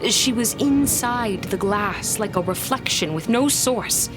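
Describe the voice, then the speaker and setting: An actress playing a young woman who recounts a frightening vision in a gothic audio drama, fearful yet curious, in a deliberate, suspenseful way. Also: mid-range voice, animated, close to an everyday voice. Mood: awed, unsettled. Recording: loud dramatic music under the voice.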